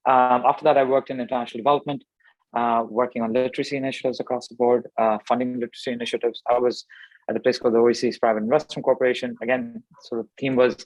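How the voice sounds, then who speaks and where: A man's voice: mid-range voice, a little mellow, no room sound, muffled recording. Thoughtful man from Pakistan. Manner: thoughtful, many fillers and restarts. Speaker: startup founder guest. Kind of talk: conversational interview answers.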